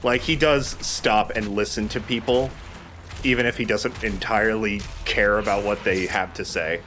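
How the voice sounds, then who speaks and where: A man's voice: lowish voice, fairly even intonation, normal speed, a bit bright, slight background noise. A man giving casual, opinionated commentary over a video game, explaining how it works as he goes. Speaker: host of a video game let's play.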